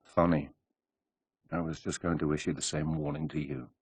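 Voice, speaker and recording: Terse, deep-voiced British secret agent. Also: male voice, flat delivery, normal speed, a little mellow, breathy edge, no echo, full character voice. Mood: wary, dry. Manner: terse, dry, businesslike. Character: composed, determined male British secret agent. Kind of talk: game cutscene acting.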